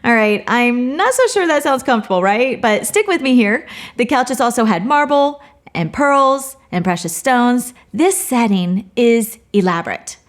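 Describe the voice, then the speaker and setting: A woman's voice: on the high side, animated, a little slow. A woman teaching a Bible study, speaking in an earnest, personal way with touches of light humor.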